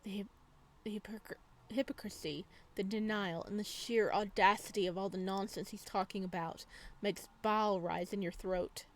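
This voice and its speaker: A woman's voice: medium pitch, steady tone, moderate pace. A woman gaming YouTuber reading visual novel text aloud, mixed with casual commentary.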